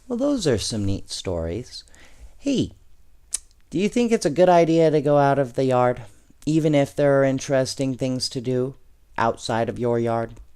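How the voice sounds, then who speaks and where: A man reading children's storybooks aloud in an expressive, measured way, with casual asides to his young listeners. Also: medium pitch, clear, resonant tone. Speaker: bedtime story reader, host.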